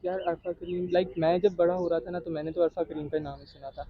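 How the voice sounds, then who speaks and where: A man's voice: sits high, fairly even intonation, a little fast, phone-quality sound. A man in his late teens with a Pakistani accent, talking casually as an interview guest and mixing in English. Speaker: interview guest, young tech entrepreneur.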